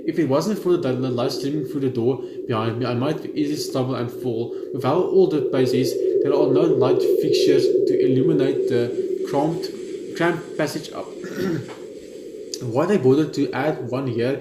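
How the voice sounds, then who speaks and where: A man's voice: mid-range voice, measured pace. A young man reads visual novel text aloud as a let's player, informally, with stumbles and casual reactions. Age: young adult. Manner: informal, stumbles while reading. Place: indoor room at home. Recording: roomy echo, clear background noise.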